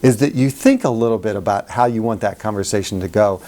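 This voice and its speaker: A man's voice: fairly low pitch, fast talker, warm tone. A middle-aged man giving a conversational how-to talk as a coach, telling personal stories with emphasis and self-deprecating humor. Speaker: sales communication coach hosting a how-to video.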